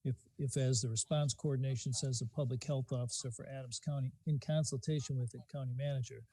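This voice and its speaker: Mellow-voiced man questioning at a board meeting. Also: mid-range voice, steady tone, fast, slightly rough.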